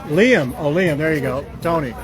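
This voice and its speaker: A man hosting a casual walking live stream outdoors, chatting with strangers in a teasing, quick-questioning way. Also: on the high side, music in the background, a crowd in the background. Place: outdoors in a busy city park.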